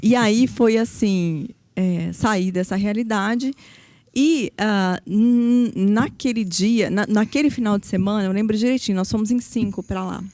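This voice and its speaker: A woman from Paraná in her thirties, a federal public defender and guest on an interview podcast, telling her story in a lively, animated way. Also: medium pitch, a little slow, no room sound. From Paraná, Brazil. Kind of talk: casual interview chat.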